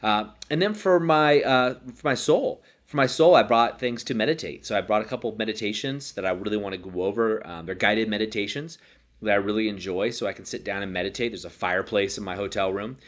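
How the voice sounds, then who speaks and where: A man's voice: lowish voice, a little fast, fairly bright tone. A stuffed-up man with a cold, hosting a casual self-help talk in a hotel room and rambling with many restarts. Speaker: podcast host.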